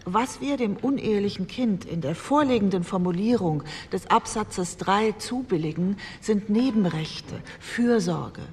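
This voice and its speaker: An actress playing an earnest postwar parliamentarian, making a measured and formal plea. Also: female voice, medium pitch, expressive, a little mellow, lightly acted. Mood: earnest, pleading. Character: earnest female parliamentarian pleading for children's rights. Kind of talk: re-enacted parliamentary speech. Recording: hall reverb, faint ambient noise.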